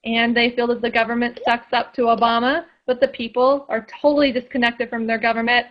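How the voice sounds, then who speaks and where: A woman's voice: fairly high pitch, level tone, a little fast. A woman guest in a casual radio interview, chatty and full of fillers and restarts. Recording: dull lo-fi sound.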